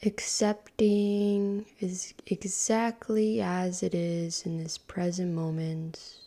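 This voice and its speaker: A woman guides a meditation at an extremely slow, calm pace, leaving long pauses between short phrases. Her voice sits a little low and is dark and mellow. It is soft and breathy, often trailing into a whisper.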